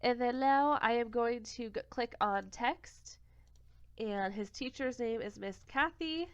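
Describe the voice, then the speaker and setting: A young woman with a cold-congested voice talks through a craft software tutorial step by step, sometimes catching and correcting her own slips. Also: a little high, a little slow, clear, resonant tone, no room sound. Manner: step-by-step, self-correcting, plain and friendly. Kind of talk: screen-recorded software tutorial. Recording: dull, lo-fi home recording.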